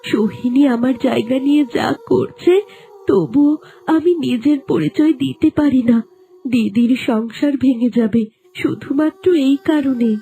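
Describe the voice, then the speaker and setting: A woman playing a gentle, sad young princess in a cartoon fairy tale, plaintive and melodramatic. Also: high-pitched, a little slow, soft background music. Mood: sorrowful. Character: gentle, sorrowful young princess cursed into a plant.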